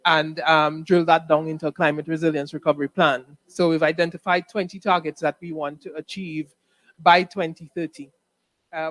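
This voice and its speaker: Higher-pitched Caribbean man, measured and formal. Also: no echo. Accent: Dominican Caribbean. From Dominica. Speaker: ambassador, panel speaker. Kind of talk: formal conference remarks.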